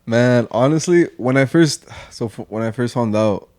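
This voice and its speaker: A man's voice: medium pitch, moderate pace, a little mellow. A young man from Mexico, a podcast guest chatting casually, laid-back, filling his talk with "like" and "man" and slipping into Spanish now and then. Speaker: podcast guest, social media creator. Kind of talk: casual chat.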